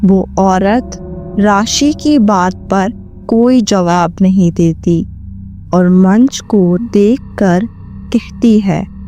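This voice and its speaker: Woman storybook narrator, very slow, dark warm tone. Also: medium pitch, soft background music. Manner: very slow, measured telling.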